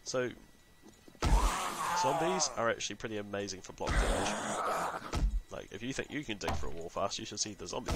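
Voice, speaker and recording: A man's voice: lowish voice, gravelly. A man with a New Zealand accent giving rambling, chatty commentary while he plays a game, talking to himself between remarks to viewers. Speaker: gaming channel host. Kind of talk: casual let's-play commentary.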